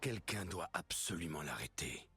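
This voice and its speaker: An actor playing a grave male storyteller, narrating an ancient legend in a solemn, measured, ominous way for a fantasy video game prologue. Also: low voice, lively intonation, bright, forceful tone, slight background noise. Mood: ominous, urgent.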